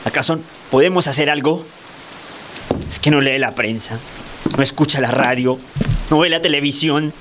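An actor playing a bitter, fearful older Colombian husband in a radio drama, halting and complaining. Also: male voice, fairly high pitch, background noise, close to an everyday voice. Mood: bitter, pleading.

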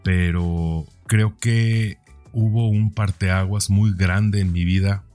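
A man in his forties with a deep, low voice speaks as a podcast host over music. He thinks aloud about himself in a conversational way, often rounding off a thought with a small questioning tag. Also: steady tone, slow, mellow. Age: 40s. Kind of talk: reflective personal podcast monologue.

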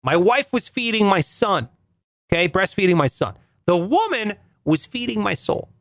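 A young man with a reedy, nasal voice, telling comic stories as a show host in an animated, mock-outraged way. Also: sits high, moderate pace, dry recording, studio quality. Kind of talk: comic monologue.

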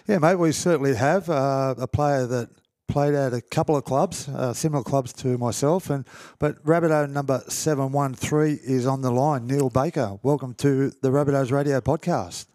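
A man's voice: medium pitch, moderate pace, darkish tone, no room sound, studio quality. A man co-hosting a podcast in an easygoing, conversational way. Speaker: podcast co-host, former player. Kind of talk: casual podcast chat.

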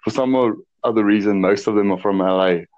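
A South African man in his late twenties talking casually in a measured way, with frequent "uh" and "um". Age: 20s. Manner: measured, chatty, slips in "uh" and "um". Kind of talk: casual chat. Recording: muffled low-fidelity audio.

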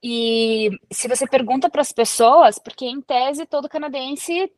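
A woman from Brazil with a Brazilian Portuguese accent, a researcher talking as a guest in a relaxed interview and explaining with everyday examples. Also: high voice, unhurried, dry recording. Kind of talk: casual interview conversation.

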